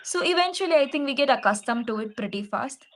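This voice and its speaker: A young woman from Kerala with an Indian English accent, an English tutor speaking in a measured, reflective way in a practice conversation. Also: sits high, expressive.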